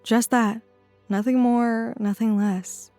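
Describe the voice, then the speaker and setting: A man's voice: very high voice, flat delivery, slow pace, warm tone, faint music behind, pitched above the performer's own voice, exaggerated voice. A man putting on a teenage chambermaid girl. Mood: resigned. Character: quiet teenage girl, dutiful hotel chambermaid.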